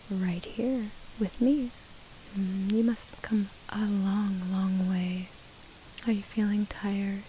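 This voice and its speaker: A woman in her twenties playing a curious, kindly wood nymph in an ASMR roleplay, whispering softly and musing hesitantly close to the mic. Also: medium pitch, close to an everyday voice, poor audio. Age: 20s. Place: outdoors in woodland. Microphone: close to the microphone. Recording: close whispered recording with hiss.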